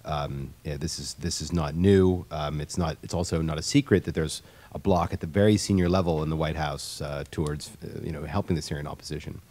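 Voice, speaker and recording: Low-voiced guy on a policy panel, keeps restarting sentences, explaining stuff. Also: fairly even intonation, darkish tone. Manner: halting, many restarts, explanatory. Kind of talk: panel discussion answer.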